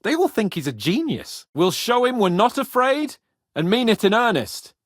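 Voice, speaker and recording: A brash young nobleman for a medieval video game. His voice sits high and swings widely, full of lordly self-importance and spirited confidence. The sound is very dry. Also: very animated, normal speed, no room sound. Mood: determined. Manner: pompous, spirited, swearing. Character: cocky young Bohemian noble lord, boastful, foul-mouthed, eager.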